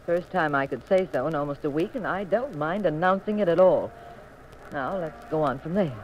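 An actress playing a spirited, teasing detective's wife with an arch, pert manner in a 1950s radio mystery. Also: female voice, low voice, lively intonation, normal speed, highs cut off, a little hiss or hum. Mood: bold. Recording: band-limited 1950s radio recording with music.

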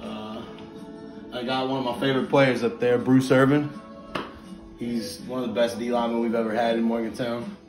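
A man's voice: mid-range voice, little pitch movement, normal speed, music in the background. A young man from West Virginia giving an enthusiastic, rambling tour of his sports fan room at home, filmed on a handheld phone. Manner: enthusiastic, rambling, many fillers. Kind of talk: casual home tour vlog. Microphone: handheld phone camera. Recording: echoey room, faint background ambience.

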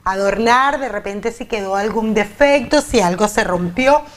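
A woman's voice: medium pitch, normal speed. A woman, hosting a cooking segment on a TV studio kitchen set and speaking straight to viewers. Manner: upbeat, addresses viewers directly. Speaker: TV show host. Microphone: lapel mic.

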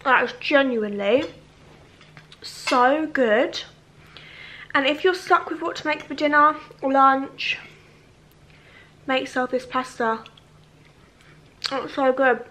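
A woman in her twenties chatting excitedly to camera in a casual home cooking vlog, full of fillers. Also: sits high, slow, pauses often. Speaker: vlogger. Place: home kitchen and living room, indoors.

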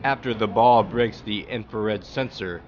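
A man giving a plain, measured voice-over that explains how a machine works. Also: on the low side, over music. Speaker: narrator. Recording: dull lo-fi sound with clear background noise.

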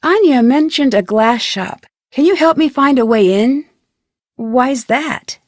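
A woman's voice: sits high, lively intonation, takes it slowly, dry recording, studio quality, full character voice. A young American woman voicing an inquisitive, polite and determined amateur detective in an adventure video game, with an earnest, questioning delivery. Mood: probing, determined. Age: young adult. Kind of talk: scripted adventure game voice acting.

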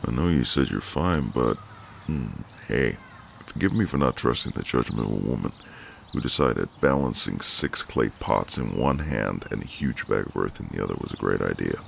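A man playing a friendly, gallant, gently teasing ex-marine neighbour in an intimate, easygoing one-sided roleplay. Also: very deep voice, animated, moderate pace, gravelly, slight background noise, full character voice. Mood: concerned. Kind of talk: intimate ASMR roleplay, one side of a conversation.

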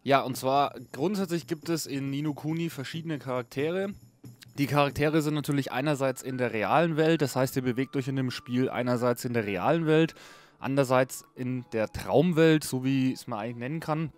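A man's voice: mid-range voice, moderate pace. A man hosting a casual, unscripted video game review in a chatty, informal way. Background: music under a voice-over.

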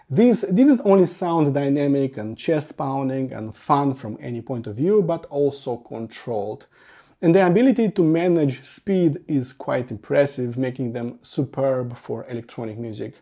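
A man with a dark, mellow voice of middling pitch delivers a gear review. He starts his phrases with emphasis and lets them settle more softly, in a semi-scripted reviewer's style.

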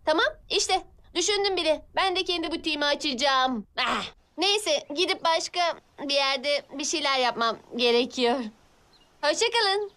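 High-pitched haughty cartoon rival. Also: female voice, expressive, normal speed, pushed and bright. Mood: scornful, scheming. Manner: theatrical, haughty, exclaiming. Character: vain, jealous, scheming fashion-rival young woman. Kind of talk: animated cartoon dub.

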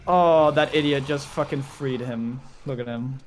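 A man's voice: mid-range voice, measured pace. A man chatting casually and swearing freely while playing a game with friends. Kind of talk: casual gaming chat. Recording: faint background noise on gaming voice chat.

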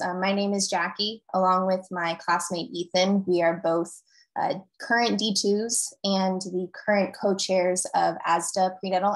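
A young woman from Northern California, a dental student co-chair, hosting an information session on a video call in a friendly, relaxed way. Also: lowish voice, poor audio. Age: young adult.

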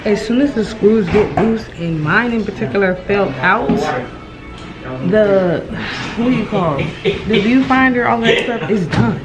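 Young woman talking to her camera in a cabin, kinda hoarse, easygoing, mid pitch. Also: unhurried, loud music behind the voice, rough recording. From Virginia, USA. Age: 20s. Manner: chatty and relaxed, addresses viewers as y'all. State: voice going hoarse. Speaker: vlogger. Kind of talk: casual vlog to camera. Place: wooden cabin, indoors. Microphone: handheld camera at arm's length.

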